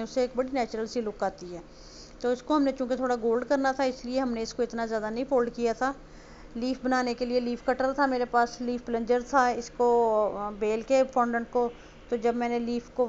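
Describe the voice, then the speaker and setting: Woman from pakistan, home baker, chatty step-by-step instructions, raised pitch, muffled recording. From Pakistan, Punjab. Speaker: home baker teaching cake decorating. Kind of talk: casual voice-over tutorial. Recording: slightly muffled voice-over with faint noise.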